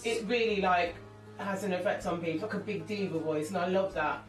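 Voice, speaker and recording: A woman, a singer and actress, talking candidly as an interview guest, lively and jokey with many restarts. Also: lowish voice, fast talker. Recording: echoey room, far from mic, music behind.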